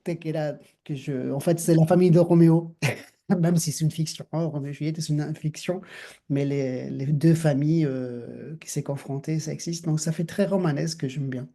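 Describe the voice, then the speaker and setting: A middle-aged man from Argentina with an Argentine accent, speaking thoughtfully as an interview guest, with many restarts and soft laughs. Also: fairly high pitch, steady tone, moderate pace, vocal fry. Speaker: guest, dancer and actor. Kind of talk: reflective interview conversation.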